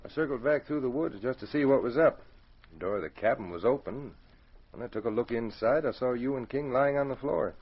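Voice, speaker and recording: A accused robber from an old radio play, in a mid-pitched voice. He is frank, wry and resigned, stressing his words plainly on a muffled vintage recording. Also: fairly quick, husky. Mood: frank, insistent. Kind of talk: 1940s radio drama acting.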